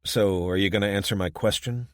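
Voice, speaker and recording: A man's voice: on the low side, fairly even intonation, a little fast, dry recording, studio quality, lightly acted. A man doing a guarded ex-detective. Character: cautious young man, former detective.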